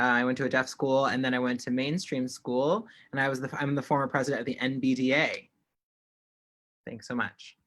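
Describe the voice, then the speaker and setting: Man, interpreter voicing signers, bright, forceful delivery, restarts phrases, online call mic. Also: mid-range voice. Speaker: sign language interpreter. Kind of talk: voicing signed panel remarks in first person.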